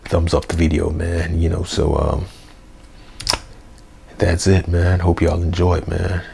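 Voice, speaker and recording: A young man, hoarse, rambling through a casual unedited review vlog with lots of fillers and slang. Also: sits low, monotone, slow, warm tone, slight background noise. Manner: rambling, full of fillers like "you know" and "man". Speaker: wrestling review vlogger. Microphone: basic mic, plans a new one.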